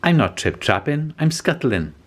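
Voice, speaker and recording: Tiny storybook spider, played by a man: timid, earnest, pitched up, lilting persuasion. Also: sing-song, normal speed. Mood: matter-of-fact. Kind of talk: children's storybook character voice.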